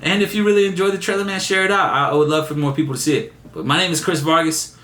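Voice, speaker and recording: A young man vlogging casually, excited and repeating himself, his voice still a bit raspy from laryngitis. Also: on the high side, quick pace. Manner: excited, repeats words, says man a lot. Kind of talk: casual vlog chat to camera. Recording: echoey room.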